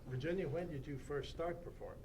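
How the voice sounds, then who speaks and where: A man moderating informally and introducing a guest in a halting, hesitant way. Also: a little high, darkish tone. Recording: distant mic in noisy meeting room.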